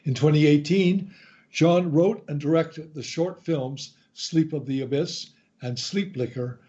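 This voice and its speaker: A man hosting a radio show, reading a scripted introduction in a deliberate, announcer-like way. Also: fairly high pitch, dark tone. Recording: slightly dull, lo-fi sound.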